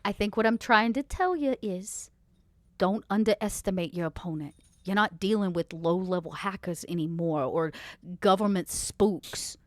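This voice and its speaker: A woman's voice: mid-range voice, moderate pace, dry recording, close to an everyday voice. A woman playing a shrewd, protective vampire fixer in improvised role-play, with a folksy, slangy, coolly threatening manner. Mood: protective, warning.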